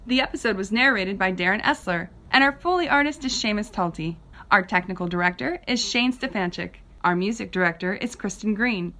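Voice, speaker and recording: A woman's voice: mid-range voice, expressive, brisk, bright, forceful tone. A woman announcer giving a scripted read of show credits.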